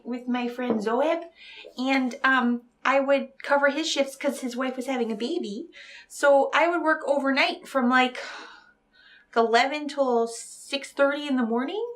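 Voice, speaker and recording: A woman playing a nervous, rambling and earnest romance novelist in an improvised comedy interview, halting and trailing off. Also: high-pitched, fairly bright tone. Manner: flustered, halting, chatty, trailing off.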